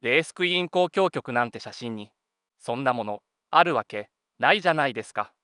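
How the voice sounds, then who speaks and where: Junior male detective, acted by a man: sharp, bright mid-range voice, polite grumbler and straight man in a crime drama. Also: mid-range voice, expressive, fairly quick, no echo, clean studio sound. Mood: exasperated, curious. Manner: polite but complaining to his senior. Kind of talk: scripted detective audio drama.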